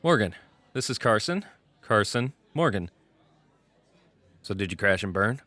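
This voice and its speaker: A self-deprecating young programmer in an audio drama, acted by a man. The voice is somewhat low and moves around in pitch, with a wry, modest, slightly hesitant delivery. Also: big pitch swings, unhurried, sharp tone, no echo, exaggerated voice. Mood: incredulous, teasing.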